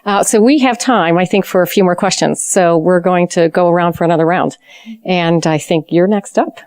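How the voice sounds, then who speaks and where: Low-voiced woman moderating a Q&A. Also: moderate pace, darkish tone, no room sound. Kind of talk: hosting a live webcast Q&A.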